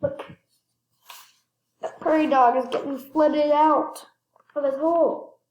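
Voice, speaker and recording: A woman reading a children's picture book aloud expressively, stressing words and pausing to point things out. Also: sits high, fairly even intonation, echoey room, lo-fi sound. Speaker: read-aloud storyteller.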